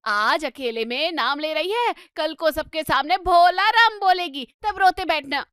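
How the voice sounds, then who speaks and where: A woman acts out an excitable middle-aged housewife in a comedy drama. Her voice is very high and dry. She gossips with relish, nags and scolds in a dramatic, exclamatory way. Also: a little slow, no room sound. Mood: scolding, exasperated. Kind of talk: Hindi comedy story dramatisation.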